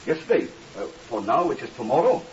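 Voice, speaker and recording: A man's voice: mid-range voice, very animated, quick pace, close to an everyday voice. An actor playing a meek, flustered, mournful man in a 1950s radio drama, hesitant and fussily deferential. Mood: anxious, hesitant. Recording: hissy, muffled, distant 1950s radio recording.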